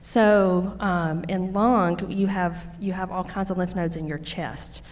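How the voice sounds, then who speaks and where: Woman, Louisiana accent, lowish voice, folksy, nurse practitioner, conference talk. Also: fairly even intonation, slow, a little mellow. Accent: Louisiana Southern. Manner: folksy, explains with everyday examples. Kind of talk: conference presentation. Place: conference hall.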